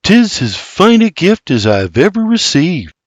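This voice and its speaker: A man's voice: high voice, sing-song, measured pace, no echo, voice pushed up, heavily acted. A man voicing a shy, humble young Irish farmhand with an Irish accent who speaks earnestly and stammers.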